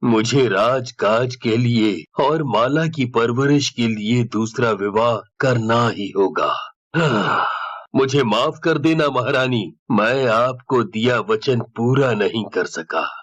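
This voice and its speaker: A man voicing a solemn, caring king and father in a cartoon fairy tale, measured, formal and gentle. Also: mid-range voice. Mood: regretful. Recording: dull, lo-fi.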